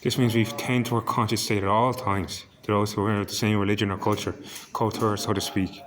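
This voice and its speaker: A man from Ireland with an Irish accent, husky and hoarse, rambling to camera in a vlog and stumbling as he repeats words while searching for them. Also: lowish voice, little pitch movement, a little fast, a crowd in the background. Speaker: vlogger.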